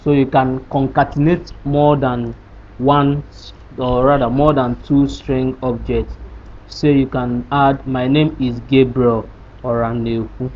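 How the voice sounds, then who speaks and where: A man explains code step by step in a screen-recorded tutorial, stopping to ask rhetorical questions before he answers them. His voice sits at an average pitch. The recording sounds dull and lo-fi, with a faint hiss underneath. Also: flat delivery, slow. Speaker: programming tutor. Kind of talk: screen-recorded coding lesson.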